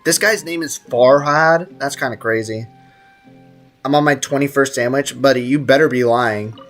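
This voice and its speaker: A man's voice: medium pitch, normal speed, a bit bright, faint music behind. A man live streaming a game with casual, slangy commentary, joking and teasing as he chats with viewers. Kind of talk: casual gaming stream commentary, reading and answering chat.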